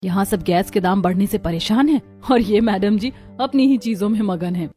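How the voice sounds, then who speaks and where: A woman's voice: mid-range voice, moderate pace, warm tone, faint music behind, lightly acted. A woman voicing village women of a poor household in a cartoon story. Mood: concerned. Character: village women of a poor family, daughters-in-law and mother-in-law.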